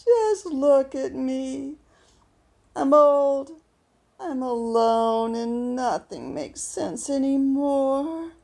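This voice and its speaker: A woman playing a lonely, grieving old widow who talks slowly to herself in an audio drama. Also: sits high, animated, darkish tone, heavily acted. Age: older. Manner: slow, wistful, talking to herself. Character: lonely grieving old widow, fired telemarketer, turning bitter.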